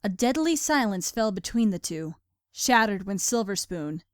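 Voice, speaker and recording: A woman's voice: mid-range voice, animated, moderate pace, no room sound, studio quality. A woman reading scripted storybook narration as the narrator of a fan fiction tale.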